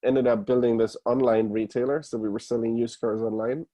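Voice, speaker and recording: A man with a German accent speaks as an interview guest. His voice is soft-edged and medium in pitch, and he explains things step by step in casual talk, using everyday examples. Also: flat delivery, a little fast. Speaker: podcast guest, startup founder. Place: remote call.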